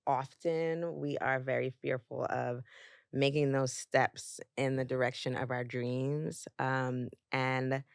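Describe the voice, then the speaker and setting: A woman's voice: very deep voice, dry recording, clean studio sound. A young adult woman as a podcast guest, talking casually with lots of fillers and easy laughter. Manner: warm storytelling with frequent fillers and laughs. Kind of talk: casual interview chat.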